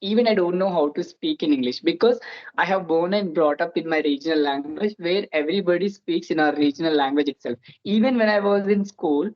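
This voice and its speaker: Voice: medium pitch, a little fast, highs cut off. A speaker in their twenties with a Telugu-influenced Indian accent, a native Telugu speaker, chatting earnestly as host and starting many phrases with "so". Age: 20s. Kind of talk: casual interview chat.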